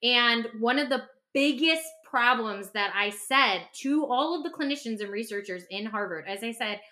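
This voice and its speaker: A woman's voice: a little high, expressive, moderate pace, bright, forceful tone. A woman speaking as a podcast host and clinician in candid conversation, passionate and emphatic, repeating phrases for stress.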